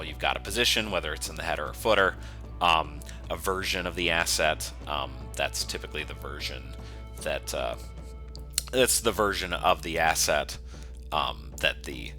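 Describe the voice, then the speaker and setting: A low-pitched man with a very bright, forceful edge to his voice explains programming like an instructor recording a screencast. He talks informally and goes step by step, with faint music in the recording. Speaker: software instructor, developer. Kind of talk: screencast coding tutorial, semi-improvised walkthrough.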